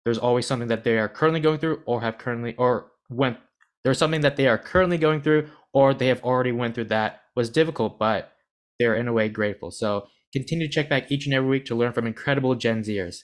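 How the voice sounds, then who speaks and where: A man's voice: mid-range voice, quick pace. A young American man hosting a podcast, earnest and rambling, often restarting his sentences. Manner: earnest, rambling, self-deprecating. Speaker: podcast host. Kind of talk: podcast interview.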